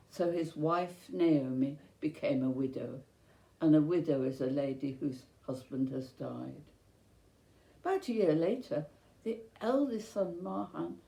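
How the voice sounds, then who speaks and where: A woman telling a children's bedtime story as host, speaking in a gentle, deliberate and expressive way for young listeners. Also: sits low, vocal fry. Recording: roomy, echoey sound.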